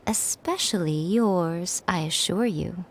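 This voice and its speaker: A woman's voice: fairly low pitch, takes it slowly. An actress playing an elegant, sly woman of authority in an anime game, coy and teasing. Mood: coaxing, sly. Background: game music.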